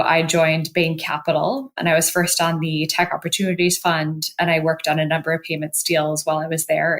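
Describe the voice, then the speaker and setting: A woman from Wisconsin answering interview questions, her voice on the low side. She speaks fluently and in a composed, articulate way, as a guest explaining her work. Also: fairly bright tone. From Milwaukee, Wisconsin. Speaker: podcast guest, venture investor.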